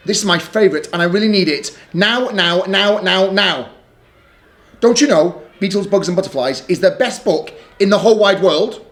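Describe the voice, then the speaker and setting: A man voicing an excitable, insistent little girl in a storybook reading. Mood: eager. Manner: high, eager, pleading, quick.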